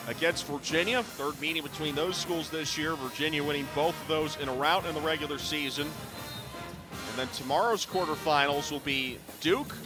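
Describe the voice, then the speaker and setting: A middle-aged man calling live basketball on radio in clipped running descriptions that can rise to excited calls. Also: on the high side. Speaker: play-by-play basketball announcer. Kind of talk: live radio sports commentary. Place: courtside in a basketball arena.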